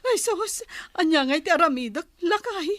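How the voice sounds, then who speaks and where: A woman's voice: extremely high pitch, expressive, moderate pace, fairly bright tone, no echo, clean studio sound. A woman with an Ilocano accent acting a nervous, evasive farmer's wife in a comic drama, speaking hesitantly and fretfully.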